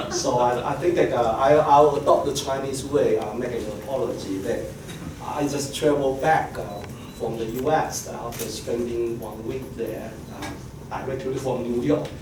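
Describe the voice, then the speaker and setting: Soft-voiced guy with allergies giving a keynote, polite, super echoey hall, noisy. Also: mid-range voice, measured pace. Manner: soft-spoken, courteous, formal. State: stuffy from allergies. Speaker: keynote speaker, geographer. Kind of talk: academic conference talk. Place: conference room. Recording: very echoey room, distant mic, noisy.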